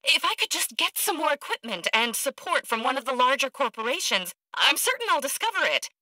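An actress voicing an eccentric, arrogant woman scientist in a video game, blunt and socially awkward, with clipped, precise, deadpan delivery. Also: high voice, brisk, no room sound, exaggerated voice, low-quality recording. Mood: obsessive, earnest.

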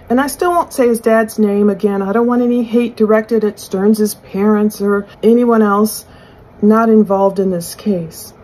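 A middle-aged woman giving conversational true crime commentary in a husky voice, explaining and speculating as she poses questions aloud. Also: on the high side, a little mellow, poor audio. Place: small furnished room indoors.

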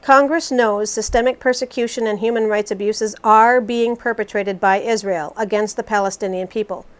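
Woman voicing female politician, medium pitch, formal, measured, resolute, scripted interview answers. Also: fairly even intonation, lightly acted. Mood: critical.

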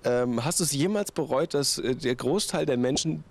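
A man's voice: mid-range voice, normal speed. Young male TV interviewer, casual. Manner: casual, hesitant, laughs at himself. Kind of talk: TV interview questions. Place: rooftop terrace outdoors. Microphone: handheld interview mic.

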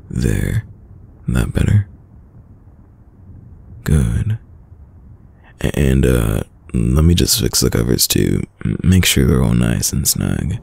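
A man playing a caring, worried boyfriend in an intimate ASMR roleplay, with a deep, gravelly, husky voice and a soft, soothing manner. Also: heavily acted. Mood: soothing, attentive. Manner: soft, slow, soothing, hesitant. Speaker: audio roleplay voice actor.

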